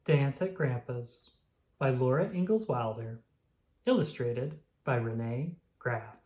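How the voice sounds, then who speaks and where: Storyteller, picture-book read-aloud, gentle, unhurried, middle pitch. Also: lively intonation.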